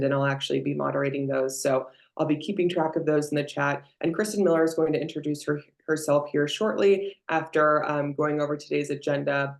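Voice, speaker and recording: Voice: mid-range voice, gravelly. A webinar moderator giving a casual introduction with frequent ums. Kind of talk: event introduction.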